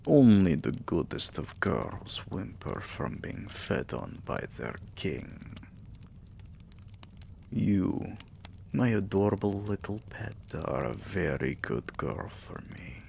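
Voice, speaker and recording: A man's voice: sits low, big pitch swings, slow, creaky, darkish tone, a little hiss or hum, full character voice. A man voicing a tender, possessive vampire king in an intimate, soothing ASMR roleplay, murmuring and often slipping into whispers. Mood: doting, commanding.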